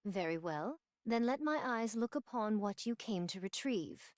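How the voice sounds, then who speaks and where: A woman's voice: medium pitch, lively intonation, unhurried, no echo, studio quality, natural voice. A woman voicing a stern woman warrior in a game, with formal, archaic and reproachful speech. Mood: stern questioning. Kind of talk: scripted game voice acting.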